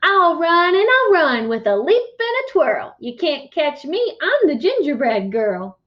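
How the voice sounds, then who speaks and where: Lady doing a cheeky little gingerbread girl, real high n sing-song, storybook taunts, kinda muffled audio. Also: sing-song, a little slow. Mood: teasing, gleeful. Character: young, bold, cheeky gingerbread cookie girl, teasing and confident. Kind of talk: storybook read-aloud.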